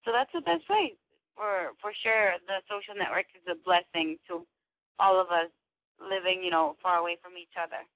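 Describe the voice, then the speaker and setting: A woman's voice: on the low side, normal speed. A woman in her early twenties from Mexico, talking as a guest on a radio show and rambling through many restarts and fillers. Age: 20s. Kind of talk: phone-in radio interview. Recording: tinny dry phone line.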